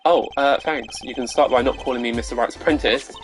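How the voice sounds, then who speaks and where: A woman's voice: very deep voice, a little fast, faint music behind. A woman voicing an earnest, exasperated young male lawyer from a video game, with a dry, slightly flustered delivery. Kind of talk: video game character read-aloud.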